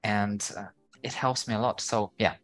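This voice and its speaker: A man's voice: fairly low pitch, steady tone, moderate pace, a bit bright, soft background music. A young man with a Ukrainian accent, chatting casually as a podcast guest, wandering through his answers with many fillers and restarts. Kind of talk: casual interview chat.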